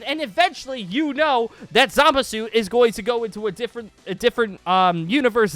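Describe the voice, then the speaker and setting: High-pitched rambling man, casual gaming vlogger. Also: fairly bright tone. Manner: rambling, repeats phrases for emphasis. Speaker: YouTube host pitching a gaming roleplay series. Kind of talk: casual excited vlog chat over gameplay.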